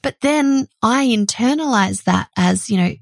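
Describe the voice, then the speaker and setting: A middle-aged woman hosting a solo podcast, talking in an animated, chatty way with a loud voice and frequent laughs. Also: fairly high pitch, unhurried, creaky, no echo, clean studio sound. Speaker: podcast host.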